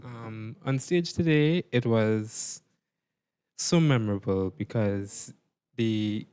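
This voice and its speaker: A man's voice: lowish voice, slow pace, mellow, clean tone. A young man with a Trinidadian accent giving earnest interview answers as a stage performer. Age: young adult.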